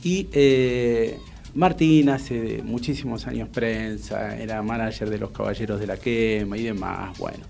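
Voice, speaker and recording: A man with a Rioplatense Argentine accent hosting a radio interview, telling anecdotes in a casual, animated way and acting out what people said. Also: fairly low pitch, unhurried, gravelly. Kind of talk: casual chat, storytelling.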